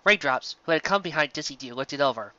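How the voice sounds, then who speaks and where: Bright, animated story narrator. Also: mid-range voice, fast, dry recording, lo-fi sound.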